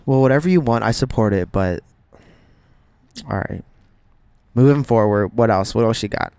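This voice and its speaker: A young man with heavy vocal fry and a slightly low voice banters as a podcast co-host. He interjects with questions and jokes in loose, slangy everyday speech. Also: normal speed, a little mellow, no room sound.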